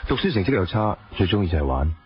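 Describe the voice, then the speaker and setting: An actor with a Hong Kong accent playing a weary, wounded estranged husband who drives a taxi, in a radio drama. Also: male voice, low voice, very animated, quick pace, slight background noise. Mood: reflective, rueful. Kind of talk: radio drama acting, with dialogue and reflective narration. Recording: dull lo-fi radio drama audio.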